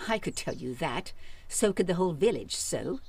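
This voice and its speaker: A woman playing a proud, haughty mother in an old-style radio drama, sharp-tongued and quick to take offense. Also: lowish voice, lively intonation, breathy edge, dry recording. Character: proud middle-aged mother, haughty village lady.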